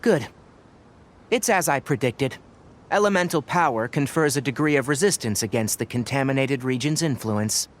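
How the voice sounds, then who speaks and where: An actor playing a calm, scholarly young male researcher in a video game, articulate and measured as he explains. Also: mid-range voice, a little hiss or hum, close to an everyday voice. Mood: calm, analytical.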